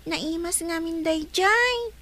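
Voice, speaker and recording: A woman's voice: very high-pitched, takes it slowly, sharp tone, heavily acted. A woman voicing a cheeky young niece who teases and pesters her uncle in a radio drama. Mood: teasing.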